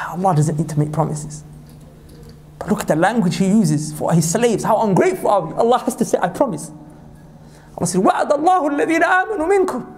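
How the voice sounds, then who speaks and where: A man from Pakistan delivering an impassioned sermon as a preacher, reciting scripture quickly and climbing to high emphatic peaks. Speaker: Islamic preacher.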